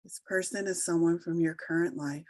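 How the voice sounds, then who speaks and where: A woman leading a guided meditation, giving soothing, unhurried instructions with long pauses between phrases. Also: lowish voice, steady tone, darkish tone, no echo. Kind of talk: slow guided meditation narration.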